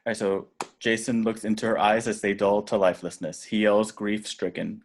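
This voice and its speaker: A man reading screenplay action directions aloud in a deliberate, dramatic way. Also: fairly low pitch. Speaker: stage directions reader.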